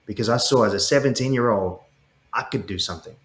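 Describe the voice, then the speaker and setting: An earnest middle-aged Australian man with a lowish voice talks with conviction as an interview guest. He restarts his phrases and repeats words as he builds up each thought. His voice carries some echo from the room. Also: fast talker, a bit bright. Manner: passionate, repeats words when starting sentences. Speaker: guest, startup CEO.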